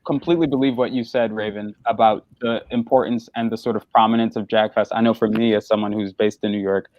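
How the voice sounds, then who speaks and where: A man's voice: on the low side, fairly even intonation, quick pace, darkish tone. A man speaking as an actor on a panel, earnest and thoughtful, building his ideas gradually. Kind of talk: reflective panel conversation. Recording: dull, slightly lo-fi online audio.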